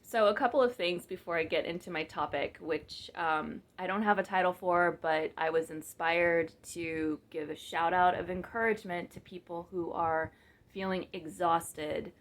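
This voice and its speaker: Flat-voiced woman vlogging to camera. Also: lowish voice, moderate pace. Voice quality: monotone, flat delivery. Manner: rambling, many fillers and restarts, self-mocking. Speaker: vlogger and business coach. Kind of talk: casual talk to camera. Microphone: camera mic, speaking to the lens.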